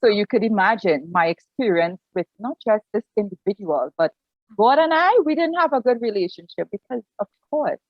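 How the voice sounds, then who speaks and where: A woman's voice: medium pitch, lively intonation, normal speed, dry recording, lo-fi sound. A woman in her forties with a Trinidadian accent, a pastor and counselor telling her personal story earnestly on a video call, often restarting her sentences. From Trinidad and Tobago. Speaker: guest, pastor and family life counselor. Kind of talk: personal story in an online interview.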